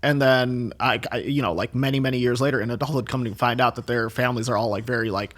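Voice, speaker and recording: A man's voice: mid-range voice, quick pace. Rambling guy chatting. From Chicago, USA. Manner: rambling, lots of "like" and "um", animated. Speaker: guest chef. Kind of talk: casual interview chat.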